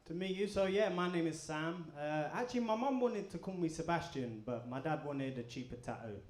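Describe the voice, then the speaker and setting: A man doing a stand-up comedy set, deadpan and crude, bantering with the crowd. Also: on the high side, fairly quick. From England. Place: comedy club in front of a live audience. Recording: echoey club room. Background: audience laughter.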